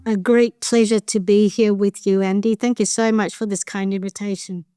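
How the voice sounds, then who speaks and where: A middle-aged woman, a professor being interviewed on a podcast, talking in a relaxed, reflective way. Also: mid-range voice, normal speed, smooth, no echo, clean studio sound. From Australia. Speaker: professor of anaesthesiology, guest.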